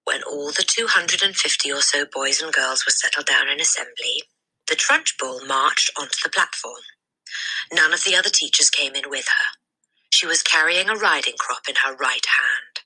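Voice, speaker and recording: A woman reading a children's story aloud as a teacher, in expressive scripted narration. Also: deep voice, moderate pace, husky, poor audio.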